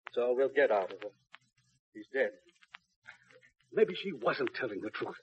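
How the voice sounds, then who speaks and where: A man's voice: medium pitch, lively intonation, normal speed, lightly acted. An actor playing a bitter, despairing but resolute father in a 1950s radio drama. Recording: muffled old radio recording, faint noise.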